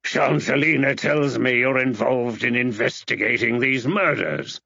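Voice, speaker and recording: A man voicing a surly, rude male artist in an audiobook, gruff, blunt and impatient. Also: medium pitch, a little slow, sharp tone, dry recording, dull audio, heavily acted. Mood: offhand.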